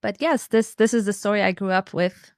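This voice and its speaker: A woman's voice: medium pitch, brisk, dry recording, clean studio sound. A woman in her thirties speaking conversationally as an interview guest, telling personal stories and explaining her views. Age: 30s.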